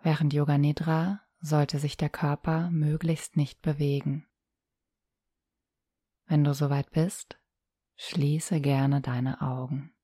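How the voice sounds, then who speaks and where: A woman leads a guided relaxation in a low, even voice that barely moves in pitch. Her delivery is soft, breathy and soothing, with calm instructions and long pauses. The sound is very dry and close. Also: dry recording. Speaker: yoga nidra guide.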